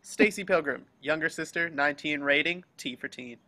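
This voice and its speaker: A man's voice: a little high, moderate pace, pushed and bright, low-quality recording. A man reading scripted stage directions as the narrator of a table read. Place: remote group video call.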